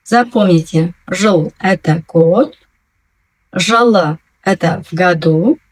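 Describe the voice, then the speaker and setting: A woman teaching a language lesson, patiently and clearly enunciating, repeating each new word twice. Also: fairly low pitch, slow. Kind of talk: slow, careful language lesson.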